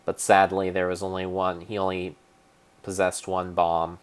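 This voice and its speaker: A man narrating his own gameplay as a solemn, theatrical dramatic reading, with pauses and small slips. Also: low voice, monotone, clear, resonant tone. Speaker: game streamer narrating play. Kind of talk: mock-dramatic storybook narration over gameplay.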